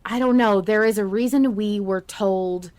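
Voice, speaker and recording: A woman hosting a podcast in a casual fan-chat style, talking in an excitable, emphatic way. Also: medium pitch, moderate pace, no room sound. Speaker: podcast host.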